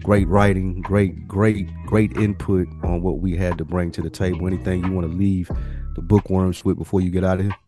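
A man's voice: sits low, little pitch movement, moderate pace, over music. A man hosting a casual podcast, talking in a slangy, appreciative, conversational way. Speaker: podcast host.

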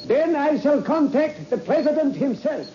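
An actor playing an authoritative space mission controller in an old-time radio drama, with a formal, commanding and declamatory manner. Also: male voice, extremely high pitch, lively intonation, normal speed. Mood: proud, official. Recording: dull, noisy old radio recording.

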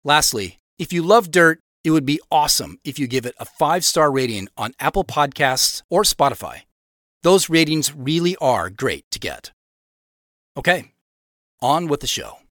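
A man's voice: fairly high pitch, sing-song, moderate pace, fairly bright tone, no room sound, studio quality. A man giving a scripted announcement to listeners as host of an audio drama.

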